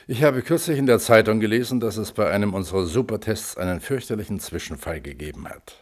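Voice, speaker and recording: An actor playing a sceptical, reflective panel member who questions a scientist pensively and probingly in a German radio play. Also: male voice, fairly low pitch, quick pace, close to an everyday voice.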